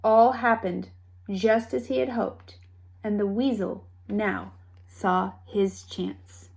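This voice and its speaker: A woman reading a children's story aloud with expressive narration and dramatic, deliberate phrasing. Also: medium pitch, creaky. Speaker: storybook reader.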